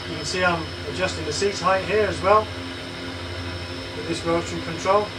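A young man narrates his flying in a calm, methodical way, with a voice that sits a little high. The camera picks him up from behind his seat, and a heavy drone of fans and engines sits under every word. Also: normal speed, background noise. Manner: calm, explanatory, step-by-step. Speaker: flight sim cockpit builder. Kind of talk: casual narration while flying. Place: home cockpit simulator room.

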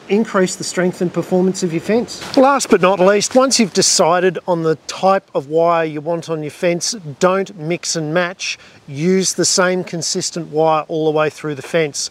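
A middle-aged Australian man explaining farm fencing outdoors by a shed, talking in a plain, practical, friendly way into a lapel mic. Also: high-pitched. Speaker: farm educator host. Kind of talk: instructional farm video talk.

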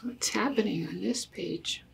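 Very gravelly older woman, slow reader. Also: medium pitch, slight background noise, rough recording. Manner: deliberate, asking listeners questions. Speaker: story time reader. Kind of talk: read-aloud picture book for children. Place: small indoor room with books and wall quilt.

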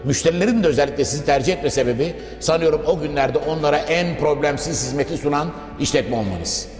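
Man, TV host, formal wordy delivery, rapid pace, reverberant room, promotional interview. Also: a little high, a little mellow. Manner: wordy, formal, addresses viewers and guest politely. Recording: echoey reverberant room, music behind. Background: background music.

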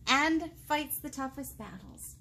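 A woman in her thirties hosts a children's storytime with a high voice and an unhurried pace. She reads expressively and pauses to put questions to her young listeners. There is clear room noise behind her. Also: lively intonation. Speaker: children's librarian, storytime host. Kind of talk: reading a picture book aloud to young children, with asides. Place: indoor room, seated.

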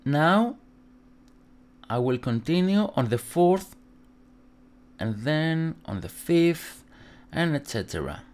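Greek-accented man giving a halting tutorial. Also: on the high side, sing-song. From Greece. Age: young adult. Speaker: tutorial presenter. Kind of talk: instructional tutorial narration.

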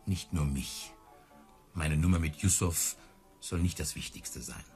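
An actor playing an earnest, easily hurt big-cat tamer in love, in a radio play. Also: male voice, rumbling low, unhurried, gravelly, a little mellow, soft background music, exaggerated voice. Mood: earnest, defensive.

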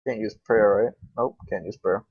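A young man with a fairly low voice talks casually over his gameplay. He is informal and grumbling, with plenty of swearing. The sound is dry and close, with a dull, lo-fi microphone. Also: fairly even intonation, normal speed, slightly rough, no room sound. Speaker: gaming video creator.

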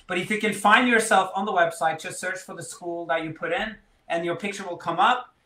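A man with a Norwegian accent on a video call, hosting a webinar in a halting way with many fillers. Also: high-pitched, sharp tone. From Norway. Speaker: webinar host. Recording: echoey room on a video call.

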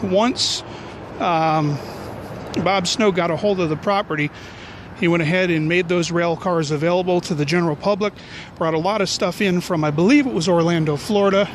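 A middle-aged man with a fairly high voice narrates a casual walking tour. He rambles along in a jokey, offhand way as a vlog host. He is outdoors on a handheld camera, with clear street and traffic noise around him. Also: normal speed. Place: outdoors on city back streets. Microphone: handheld camera at arm's length.

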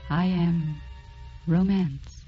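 An actress playing a tender, dreamy spirit of romance who hosts and narrates a vintage radio drama. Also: female voice, fairly low pitch, steady tone, drawn-out words. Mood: dreamy, intimate. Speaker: narrator and host. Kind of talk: 1940s radio scripted narration. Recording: dull vintage radio broadcast, faint music.

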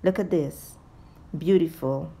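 A woman's voice: low-pitched, slow, creaky, mellow. A woman giving a step-by-step cooking tutorial as a voice-over, addressing viewers directly as a home cooking host.

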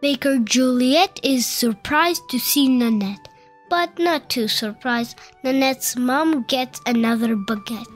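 A woman reading a children's picture book aloud in a slow, playful storybook manner. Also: high voice. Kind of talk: children's read-aloud narration. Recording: faint music behind the voice.

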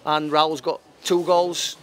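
A man in his fifties giving an unscripted post-match football interview, matter-of-fact and halting, repeating phrases as he goes. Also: on the high side, flat delivery, fairly bright tone. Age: 50s. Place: outdoors at a football ground at night. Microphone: handheld interview microphone. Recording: clear outdoor background noise.

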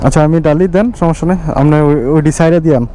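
A man's voice: a little high, rapid-fire, mellow, low-quality recording. A man, vlogging casually from a motorbike at night and chatting in short questions. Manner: friendly, chatty, asks many short questions. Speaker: motovlogger. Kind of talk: casual vlog narration and chat. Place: outdoors at a petrol station and on a highway at night. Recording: faint traffic noise outdoors.

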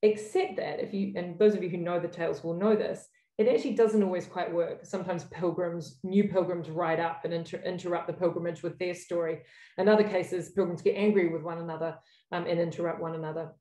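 A woman's voice: lowish voice, fairly even intonation, fairly quick, warm tone. A middle-aged woman with a New Zealand accent gives a scholarly lecture over slides, thoughtful, with frequent ums and self-corrections. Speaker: academic speaker opening a workshop. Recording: roomy echoey sound.

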